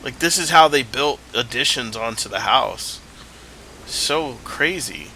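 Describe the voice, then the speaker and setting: A man's voice: medium pitch, animated, measured pace, pushed and bright, a little hiss or hum, low-quality recording. A man casually commenting while playing a video game, rambling and thinking aloud, with a stuffy nose. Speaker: gameplay streamer. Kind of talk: casual let's-play commentary.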